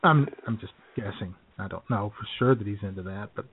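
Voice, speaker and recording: A man co-hosting a casual comedy podcast, wry and self-described cynical, often clearing his throat. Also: on the low side, a little fast. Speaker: podcast co-host. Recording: tinny phone-like audio.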